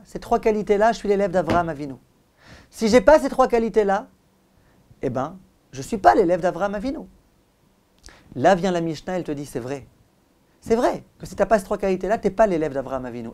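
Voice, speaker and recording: A man with a soft-edged, mellow voice delivers a religious lecture as a rabbi. He speaks straight to his listeners in a didactic manner. Key phrases come back again with firm emphasis. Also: high-pitched. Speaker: rabbi giving a Torah lesson. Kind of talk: religious lecture in direct address.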